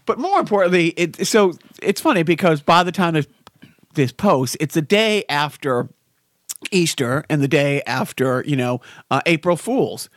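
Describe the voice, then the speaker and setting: A man's voice: on the high side, animated, normal speed, fairly bright tone, no room sound, clean studio sound. A middle-aged man hosting a podcast, chatting casually in a rambling way with frequent restarts and laughter. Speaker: podcast host. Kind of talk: casual chat.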